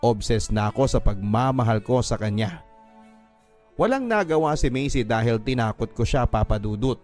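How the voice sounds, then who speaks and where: A man's voice: mid-range voice, expressive, normal speed, a little mellow. Man narrating an emotive radio drama over music. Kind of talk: dramatic first-person story narration. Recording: music bed behind the voice.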